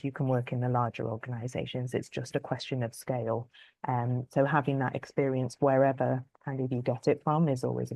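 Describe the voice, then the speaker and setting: A woman's voice: a bass voice, mellow, no echo. A woman in her thirties answering career questions in a webinar, thoughtful and hesitant, with many fillers. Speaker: public health lead answering career questions. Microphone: video call.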